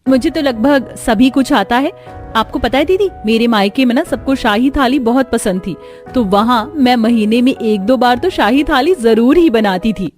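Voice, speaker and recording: A woman's voice: fairly high pitch, moderate pace, a little mellow, faint music behind, close to an everyday voice. A woman voicing a young, frank and chatty newly married daughter-in-law in a cartoon story. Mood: proud. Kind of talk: Hindi cartoon story acting.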